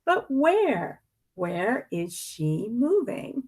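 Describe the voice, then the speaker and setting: A woman reading a picture book aloud expressively, with deliberate dramatic emphasis and pointed pauses. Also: fairly high pitch, big pitch swings, darkish tone, husky.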